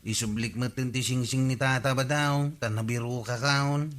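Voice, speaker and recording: A man's voice: mid-range voice, fairly even intonation, moderate pace, a bit bright, natural voice. An actor playing a hapless, lovelorn, apologetic village man with an Ilocano accent and a whiny, pleading drawl, in a radio comedy drama.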